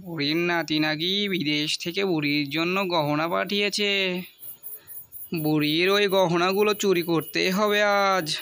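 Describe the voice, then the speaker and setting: Casting: male actor as scheming cartoon thief, slightly high voice, impatient bluster, dry recording. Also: pushed and bright, no echo, heavily acted. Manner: blustering, sneaky. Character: scheming, impatient village robber. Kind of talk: cartoon character dub.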